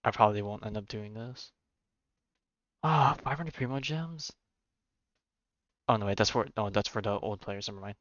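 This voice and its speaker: A man's voice: lowish voice, moderate pace, pauses often, a bit bright, no echo, dull audio. A man livestreaming a game with casual, informal commentary, thinking aloud and reading on-screen text.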